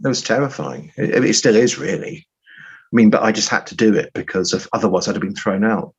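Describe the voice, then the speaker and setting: A man telling stories as an interview guest in a halting, rambling, self-deprecating way, on muffled audio. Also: on the low side, gravelly, slightly rough. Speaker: guest writer. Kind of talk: conversational interview storytelling.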